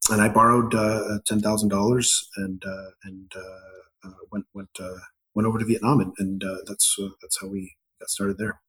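A middle-aged man from Ecuador, a business founder answering interview questions in a halting way, with many fillers and restarts. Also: on the low side, little pitch movement, fairly bright tone, low-quality recording.

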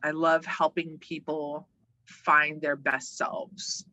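A woman from Hawaii speaking casually and emphatically as an interview guest, chatty and animated. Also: low-pitched, takes it slowly. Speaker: interview guest, organizational development worker and voiceover artist.

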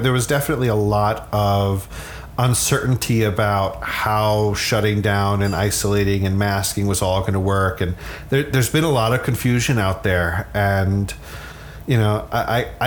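An American man with a lowish voice gives casual interview answers. He thinks aloud, somewhat haltingly, with a little noise behind him. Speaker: sleep scientist, regular podcast guest.

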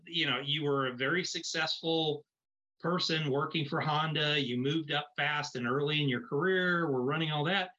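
A man's voice: on the high side, pushed and bright. An American man hosting a casual podcast interview, rambling informally and encouragingly, on a slightly dull recording. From United States. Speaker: podcast host.

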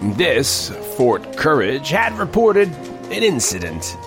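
An actor playing an older male reporter who narrates a strange frontier tale in an emphatic, deliberate storytelling manner. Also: mid-range voice, huge pitch swings, full character voice. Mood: intrigued. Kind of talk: dramatic scripted first-person narration. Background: music bed.

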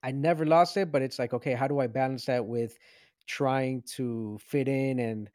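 Rambling man in his thirties, mellow voice. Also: mid-range voice, steady tone, normal speed, no room sound, studio quality. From Venezuela. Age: 30s. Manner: rambling, many restarts and fillers. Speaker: podcast co-host. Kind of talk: casual review chat.

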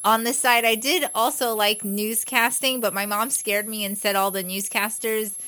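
A woman originally from Guyana, talking as a guest on a television talk show. She tells her story in a bright, chatty, upbeat way, easy and conversational. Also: medium pitch, fairly even intonation, moderate pace. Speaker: guest physician. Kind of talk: casual talk show interview.

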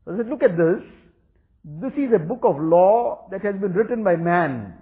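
A man's voice: sits high, expressive, normal speed. A man whose first language is Urdu, giving an earnest religious talk and repeating phrases for emphasis. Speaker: religious teacher. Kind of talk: Islamic talk. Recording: tinny phone-like recording.

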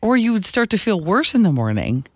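Playful female co-host, lively and sing-song, with a dry, close sound and faint music underneath. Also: mid-range voice, a little fast, dry recording. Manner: teasing, animated, laughs often. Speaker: radio show co-host. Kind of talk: casual morning-show banter.

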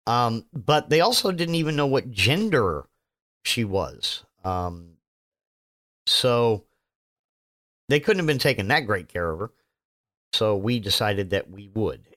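A man hosting his own podcast, his voice still hoarse from illness, rambling casually with lots of fillers and self-mocking asides. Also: fairly low pitch, animated, slow pace, long pauses, a bit bright. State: hoarse, recovering voice. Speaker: podcast host. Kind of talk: casual solo podcast monologue.

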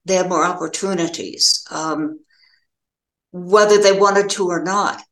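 An older American woman giving reflective interview answers as a novelist, in a halting, thoughtful way with pauses mid-phrase. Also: lowish voice, fairly even intonation, a bit bright, roomy sound.

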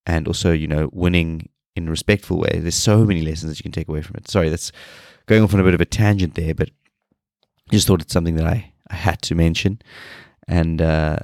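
A man in his thirties hosting a solo podcast, sounding nasal from a cold and talking in a low-energy, rambling way. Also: fairly even intonation, a little fast, creaky, a little mellow, no room sound, clean studio sound. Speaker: podcast host.

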